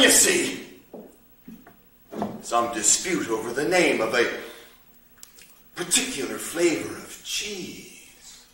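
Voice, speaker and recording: A man acting a haunted, sardonic storyteller in a dramatic stage monologue, deliberate and theatrical, with long pauses. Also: a little high, a bit bright, full character voice. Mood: sardonic, declaiming. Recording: roomy echo, distant mic, faint noise.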